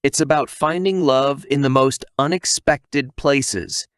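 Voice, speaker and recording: A man's voice: medium pitch, slow pace, clean tone, no room sound, clean studio sound. A man narrating a scripted comic story recap in a conversational, wisecracking way, with asides to the listener.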